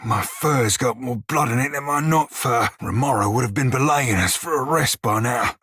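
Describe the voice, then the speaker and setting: A man voicing a cheeky, foul-mouthed small furry pirate with a husky rasp and a salty pirate dialect, for a video game. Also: mid-range voice, bright, forceful tone, dry recording, clean studio sound, exaggerated voice. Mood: weary, grumbling. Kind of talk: video game character voice lines.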